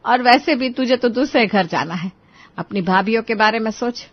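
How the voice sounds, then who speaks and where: A woman's voice: a little high, moderate pace, natural voice. Casting: woman as comforting, resigned mother, moral-story drama, dull lo-fi sound. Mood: consoling. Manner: soothing, resigned. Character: consoling, resigned mother soothing her grown child.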